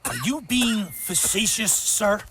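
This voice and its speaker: An actor playing a pompous, prickly American army colonel in a Western game, halting and condescending. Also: male voice, high-pitched, heavy background noise. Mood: indignant. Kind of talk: cinematic game acting.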